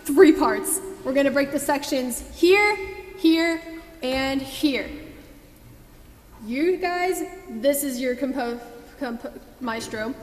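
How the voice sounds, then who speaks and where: A woman's voice: high voice, takes it slowly. A young woman giving casual instructions as a song leader in a church. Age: young adult. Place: large church sanctuary. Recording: echoey hall reverb.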